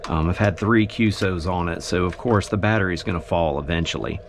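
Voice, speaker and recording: A man with a very low voice talks casually through a hands-on hobby demonstration. He explains things in an unscripted, halting way, working out his thoughts as he goes. The home recording carries some background noise. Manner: halting, thinking aloud as he explains. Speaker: amateur radio hobbyist demonstrating his gear. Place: indoors in a home radio shack. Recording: clear steady background noise.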